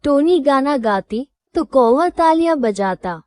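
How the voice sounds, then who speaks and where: A woman reading a scripted children's moral story as storyteller, in a measured, instructive manner. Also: high voice, lively intonation, darkish tone, dry recording, studio quality.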